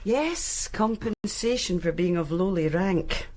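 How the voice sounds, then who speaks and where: A woman's voice: fairly low pitch, animated, takes it slowly, darkish tone. An actress playing a wry, tender young policewoman in a radio drama. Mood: wry, affectionate. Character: young lowly-ranked policewoman, wry, tender, discreet lover. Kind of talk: naturalistic radio drama acting.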